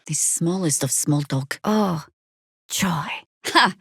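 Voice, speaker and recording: Battle-worn older druid for a fantasy game: lowish voice, dry studio sound, wry and measured wording. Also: female voice, vocal fry, fairly bright tone, slightly rough, no room sound, exaggerated voice. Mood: dry sarcasm. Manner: dry, wry, deliberate formal phrasing. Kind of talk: video game character acting.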